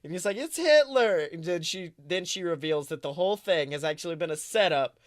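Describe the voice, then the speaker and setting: A man's voice: high voice, expressive, a little fast, no room sound, studio quality. A man co-hosting a podcast, improvising a comic story in a rambling, self-correcting, playfully dramatic way. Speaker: podcast co-host.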